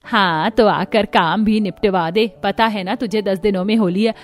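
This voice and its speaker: A woman's voice: mid-range voice, fairly even intonation, moderate pace, natural voice. A woman playing a demanding, wealthy mother-in-law who gives instructions in a cartoon story. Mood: bossy. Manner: bossy, instructing. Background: music.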